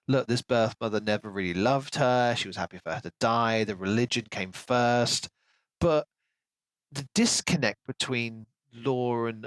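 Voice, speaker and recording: A man's voice: medium pitch, a bit bright, no echo, rough recording. A man co-hosting a casual book discussion, giving his opinions in an analytical way. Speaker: podcast co-host.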